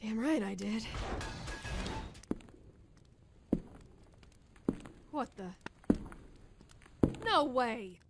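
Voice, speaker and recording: A woman's voice: fairly high pitch, expressive, slow, vocal fry, very noisy, exaggerated voice. A woman playing a tough, determined, wry young soldier in a radio drama. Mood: playful.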